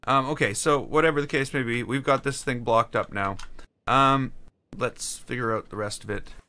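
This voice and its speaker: A man streaming a game, chatting casually and haltingly, answering chat while he builds. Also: medium pitch, bright, forceful tone. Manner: rambling, halting, answers chat between tasks.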